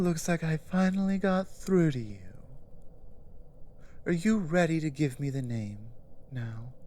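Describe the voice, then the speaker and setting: Woman acting a slow, commanding giantess. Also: extremely low pitch, dark tone, heavily acted. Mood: impatient. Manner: commanding, deliberate, taunting, with pauses and breathy drops. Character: powerful, domineering giantess, menacing and coolly impatient captor. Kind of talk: dramatic ASMR roleplay.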